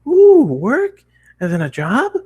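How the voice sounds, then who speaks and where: An excitable young cartoon pony girl, performed by a man, pitched well above his natural voice. She is inquisitive and bouncy, lifting her questions eagerly as a storybook character. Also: takes it slowly, husky, full character voice. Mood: excited, curious. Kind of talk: fan fiction character reading.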